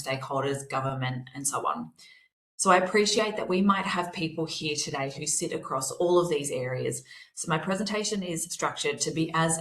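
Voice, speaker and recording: Low-voiced hoarse woman presenting a seminar. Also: echoey room, slight background noise, low-quality recording. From Australia. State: slightly hoarse.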